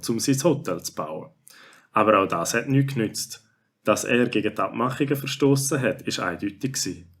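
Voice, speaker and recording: Lower-pitched male storyteller. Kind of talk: scripted children's story narration.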